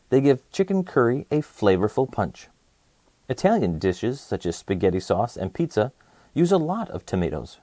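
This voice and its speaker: A man with very lively, varied intonation reads an educational book aloud for children. His voice is mellow and mid-pitched, and his phrasing is simple and clear. The sound is close and dry, in the style of a kids' story host. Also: no room sound. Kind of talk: educational picture book read-aloud for kids.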